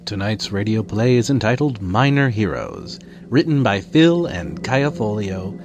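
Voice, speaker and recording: A man's voice: on the low side, very animated, measured pace. A man announcing a radio theater show in a scripted introduction. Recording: loud music under the voice. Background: music.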